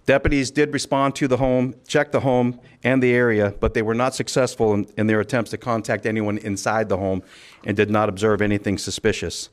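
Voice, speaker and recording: A man speaking as a sheriff at a press conference, delivering a formal statement in a measured, official, occasionally halting way. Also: fairly low pitch, little pitch movement. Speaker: county sheriff.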